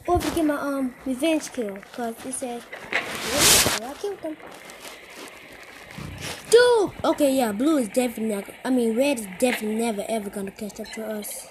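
A teenager giving casual, excitable commentary while playing a mobile game, repeating short phrases and calling out to other players. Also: mid-range voice, moderate pace, noisy background. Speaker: gamer. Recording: game music loud behind voice.

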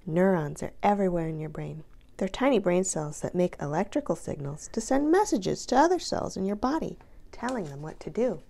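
A woman narrates a picture book for children with very lively intonation. Her voice sits a little low and has a gravelly creak. She reads deliberately, stretching important words for emphasis. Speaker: counselor reading a children's book aloud. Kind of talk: expressive read-aloud narration for children.

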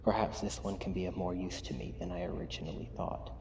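A man playing a cold, menacing, possessive mad scientist in an ASMR roleplay, clinical and taunting in a hushed way. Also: low voice, steady tone, fast, vocal fry, background noise. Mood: intrigued, scheming. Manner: clinical dictation mixed with hushed, taunting asides.

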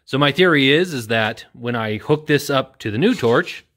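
A man's voice: medium pitch, lively intonation, moderate pace, a bit bright. A man in his thirties hosting a casual how-to repair demonstration in an indoor workshop, talking in a plain-spoken, self-deprecating way. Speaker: welding video host. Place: indoor workshop with corrugated metal wall.

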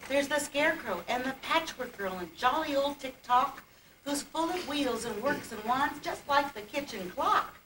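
A woman playing an excitable, jolly puppet storyteller, reciting rhymed verse in a singsong theatrical way. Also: high-pitched, moderate pace. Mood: merry. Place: indoor puppet stage, far camera. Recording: distant, echoey, noisy old camcorder tape.

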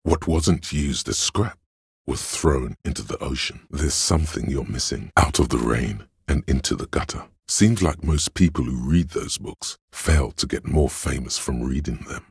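An extremely low, husky voice of pure logic speaks inside the player's head in a video game. It is gravelly and very dryly recorded, with no room around it. The delivery is deliberate, analytical and condescending. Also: male voice, no echo, full character voice. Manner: measured, deliberate, dry, superior. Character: inner voice of cold logic, a man's analytical, condescending mind.

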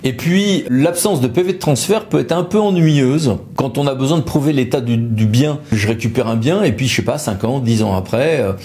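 A man's voice: mid-range voice, moderate pace, few pauses, darkish tone. A man explains legal matters to camera in a conversational, loosely improvised way. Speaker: lawyer presenting an explainer video.

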